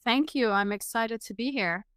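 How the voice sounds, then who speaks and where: A woman in her forties, from Iran, speaks as an interview guest in an earnest, reflective way, pausing often with fillers. Her voice is very smooth and resonant. The recording is close and dry. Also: on the high side, a bit bright, dry recording. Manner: earnest, many fillers and restarts.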